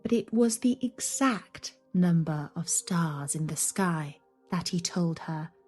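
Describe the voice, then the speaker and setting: Female storyteller, unhurried and expressive, scripted children's narration over prominent music. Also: on the low side. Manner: deliberate, dramatic delivery.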